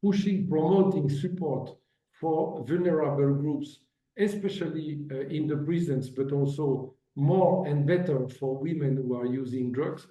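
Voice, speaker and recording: A man delivers formal remarks at a virtual conference, pausing often and restarting his phrases. His voice is very dark and mellow. It comes through dull and roomy, as if picked up from a distance. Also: fairly high pitch. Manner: halting, many fillers and restarts. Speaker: agency director giving a presentation.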